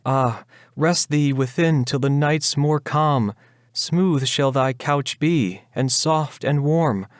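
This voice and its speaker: Man voicing a plaintive young bride, pitched up. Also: slow, no room sound, clean studio sound. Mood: tender, pleading. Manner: plaintive, pleading, archaic speech. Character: grieving young bride, yearning, anxious, tender. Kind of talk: dramatic Gothic ballad recitation.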